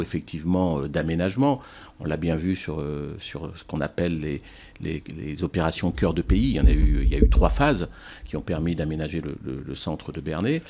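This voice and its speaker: Very deep-voiced male mayor. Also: a little mellow. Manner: halting, repeats words for emphasis. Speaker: town mayor. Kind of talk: political interview answers.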